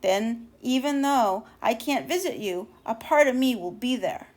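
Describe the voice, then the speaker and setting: A woman plays a storybook moon, a lonely and hopeful lady in the sky. Her voice is high and kindly, encouraging and full of gentle exclamation. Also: lively intonation, a little slow. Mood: warm, welcoming.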